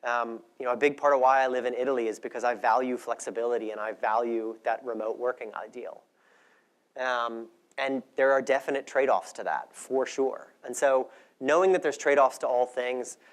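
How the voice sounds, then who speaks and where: A man speaking as a conference presenter in a conversational, reflective way, both presenting and answering questions. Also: mid-range voice. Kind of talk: conference talk and audience Q&A.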